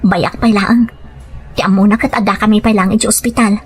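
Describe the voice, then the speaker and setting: A woman's voice: mid-range voice, fairly even intonation, brisk, slightly rough, soft background music, pitched below the performer's own voice, close to an everyday voice. A woman playing a scheming, coaxing gambler wife with an Ilocano accent in a dramatic audio story. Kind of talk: radio-style drama acting.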